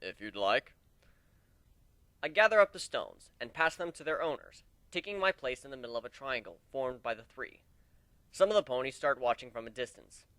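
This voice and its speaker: Man, amateur dramatic narrator, animated intonation, dry close recording, first-person storytelling. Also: mid-range voice, a little fast, no echo.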